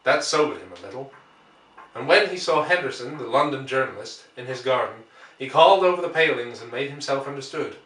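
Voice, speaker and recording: Male audiobook reader, mid-pitched and deliberate, scripted dramatic narration, echoey room. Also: lively intonation, fairly bright tone. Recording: roomy, distant microphone.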